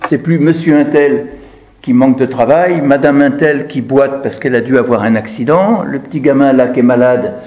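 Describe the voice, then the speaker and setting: A man's voice: mid-range voice, moderate pace, muffled recording. A man giving an explanatory talk as a lecturer, didactic, posing questions and answering them, repeating key phrases for emphasis. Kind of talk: explanatory talk, commenting on quoted text.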